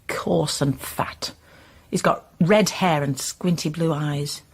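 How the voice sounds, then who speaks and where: A woman's voice: low voice, fairly even intonation, takes it slowly, slightly rough, heavily acted. A woman acting a guarded, scornful, reluctant informant with clipped delivery in a radio crime drama. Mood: guarded disdain. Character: resentful, disdainful woman, reluctant police informant.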